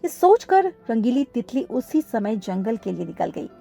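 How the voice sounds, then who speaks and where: A woman's voice: on the high side, sing-song, moderate pace, vocal fry, mellow, slightly rough, faint music behind. A woman reading scripted narration for a children's cartoon story.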